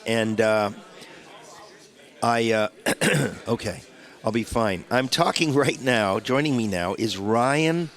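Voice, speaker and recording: A man's voice: medium pitch, a bit bright. A man with a cold and a stuffed-up voice, hosting a radio talk show in a rambling, chatty way. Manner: rambling, chatty, many restarts. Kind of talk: casual radio interview chat. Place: radio studio.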